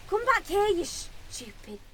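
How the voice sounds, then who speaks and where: An actress playing a down-to-earth young undertaker's apprentice in an audio sitcom, informal and plain-spoken. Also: female voice, very high-pitched, very animated, slow pace, exaggerated voice. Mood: exasperated.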